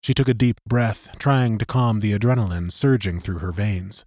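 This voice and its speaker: Casting: male narrator for dramatic sci-fi audiobook, lowish voice, close and dry studio sound. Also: moderate pace, a little mellow, no echo, soft background music.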